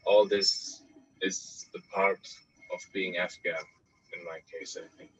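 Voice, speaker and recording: A man's voice: sits low, fairly even intonation, slow pace, slightly rough, faint music behind, low-quality recording. A man from Austria in his thirties, a journalist answering interview questions thoughtfully, with many fillers and restarts, over a video call. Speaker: guest journalist.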